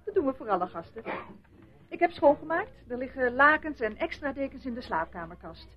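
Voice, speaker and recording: A woman's voice: high-pitched, very animated, brisk. An actress playing a helpful, businesslike woman who welcomes guests at a village inn, in a radio drama. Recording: dull old radio play recording.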